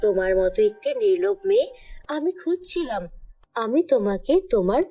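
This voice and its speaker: A stern fairy of justice from a cartoon fairy tale, acted out by a woman narrator. Her voice is high and swings widely as she passes solemn judgement, with music underneath. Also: very animated, normal speed, muffled recording, full character voice. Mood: kind approval. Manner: scolding, solemn, declamatory. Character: stern righteous fairy woman judging greedy villagers.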